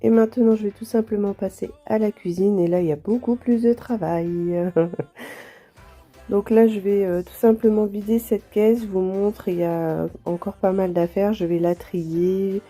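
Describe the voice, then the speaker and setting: A woman with a stuffy nose from a cold, chatting gently to viewers as she tidies her home, with soft music behind. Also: medium pitch, fairly even intonation, a little slow. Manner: gentle, chatty, apologetic asides with light laughs. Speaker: home vlogger. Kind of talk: casual vlog narration.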